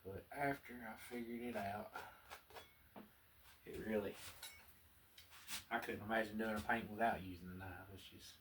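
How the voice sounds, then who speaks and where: A man with a strong Southern US accent, chatting in a folksy, unhurried way as he teaches painting, murmuring asides to himself. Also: lowish voice, fairly even intonation, a bit bright. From American South. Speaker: painting instructor. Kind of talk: relaxed painting tutorial with casual chat. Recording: distant mic with clear background noise.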